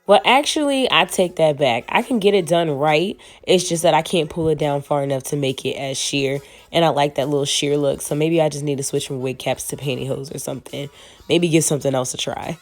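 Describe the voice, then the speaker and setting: A young woman, hoarse from a cold, chatting casually to viewers as she walks through a wig install. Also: low-pitched, darkish tone. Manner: casual and chatty, slangy, addresses viewers as y'all. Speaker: beauty vlogger. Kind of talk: casual wig tutorial chat.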